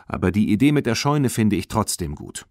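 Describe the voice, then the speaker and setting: A sensible, caring mother in a storybook, read by a man. The manner is measured and practical, with expressive rises and falls in a dry recording. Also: lowish voice, no room sound. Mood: firm, practical.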